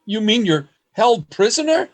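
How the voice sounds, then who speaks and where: A man's voice: high voice, big pitch swings, a little slow, heavily acted. A man acting an earnest, lovestruck and fearful poet in a radio play, with formal, theatrical phrasing, recorded over a video call. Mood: concerned, urgent. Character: earnest, lovestruck, fearful male poet keeping a secret journal. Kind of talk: radio play acting, journal narration and dialogue.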